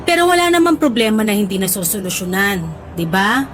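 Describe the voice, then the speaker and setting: A woman acting a doting middle-aged mother in a radio drama, teasing and gently scolding her grown son. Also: medium pitch, sing-song, a little fast, soft background music, close to an everyday voice. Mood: reassuring.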